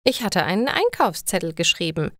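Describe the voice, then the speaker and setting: A woman's voice: lowish voice, sing-song, moderate pace, fairly bright tone, dry recording, pitched below the performer's own voice. A woman voicing an exasperated older housewife in a story, complaining in a dramatic, emphatic way. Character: exasperated older housewife with a newly retired husband.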